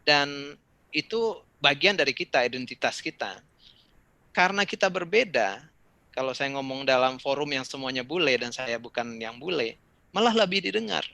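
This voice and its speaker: A middle-aged man from Indonesia giving relaxed, conversational advice over a video call, with fillers and asides. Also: mid-range voice, moderate pace, vocal fry, long pauses, dry recording. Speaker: speaker answering questions in an online session. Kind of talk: casual talk and advice.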